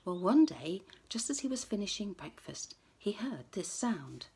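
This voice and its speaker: A woman telling a children's story expressively, often dropping to a hush for suspense. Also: medium pitch, sing-song, measured pace, heavy background noise.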